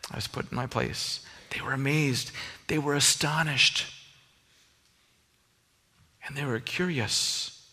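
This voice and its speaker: A man's voice: medium pitch, slow, long pauses, sharp tone, in a large hall. A man with a Minnesota accent preaching a sermon in an earnest, conversational way, mixing scripture with personal asides. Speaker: pastor.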